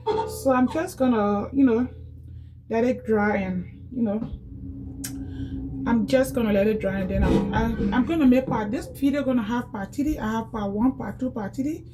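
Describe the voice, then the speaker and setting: Chatty woman vlogger, slightly high voice. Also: moderate pace, rough recording. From Liberia. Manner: chatty and repetitive, often addresses viewers as guys. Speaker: YouTuber demonstrating cleaning. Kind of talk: casual home how-to vlog. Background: background music.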